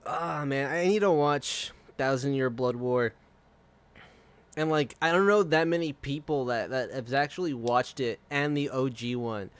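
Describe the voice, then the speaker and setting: A man's voice: mid-range voice, a little slow, smooth. A man streaming live, chatting casually and rambling with frequent swearing and laughter. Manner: profane, rambling, laughs often.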